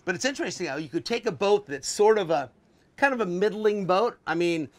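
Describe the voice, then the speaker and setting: Older guy in his 60s w a highish voice, hosting a boat tour, salty and offhand, chatting in a small cabin. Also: lively intonation, brisk. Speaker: video host. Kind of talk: casual boat tour talk to camera.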